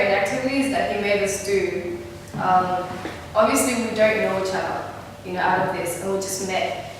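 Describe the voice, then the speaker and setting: A woman's voice: mid-range voice, a little hiss or hum. A woman, a workshop participant, reflecting on a workshop in an enthusiastic, chatty way. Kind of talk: personal reflection in group. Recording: echoey hall from far off.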